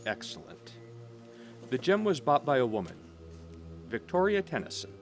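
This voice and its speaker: A man's voice: mid-range voice, big pitch swings, moderate pace, faint music behind, lightly acted. A man playing a shrewd, eager trader in secrets in an audio drama, bargaining theatrically. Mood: eager, sly.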